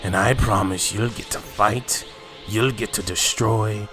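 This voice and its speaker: Low, slow, gloating villain played by a man. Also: fairly even intonation, natural voice. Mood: sinister persuasion. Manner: mocking, slow, menacing, chuckling. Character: gloating sinister villain possessing a father, cruelly taunting. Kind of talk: fan-made anime roleplay drama acting. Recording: music playing loudly behind the voice. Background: loud music.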